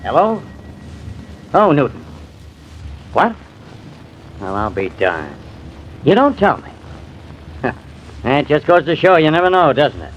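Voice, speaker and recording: Old radio cop, sly and pushy, real animated voice, muffled noisy recording. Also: male voice, on the high side, takes it slowly, long pauses. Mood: sly, pressing. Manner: sly, pressing, sardonic. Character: shrewd, needling, folksy police detective. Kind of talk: 1950s radio drama acting.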